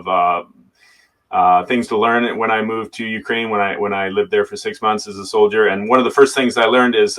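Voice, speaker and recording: A man's voice: on the low side, a bit bright, far from the mic, rough recording. An American man, a former soldier, answering interview questions hesitantly, with many fillers and repeated words. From United States. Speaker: soldier and guest. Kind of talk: conversational interview.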